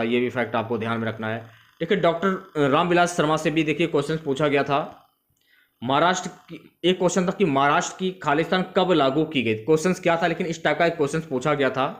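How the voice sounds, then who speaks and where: A man's voice: on the high side, fairly bright tone. A man teaching as an exam coach, going through questions and repeating key facts for students. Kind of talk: instructional exam question review.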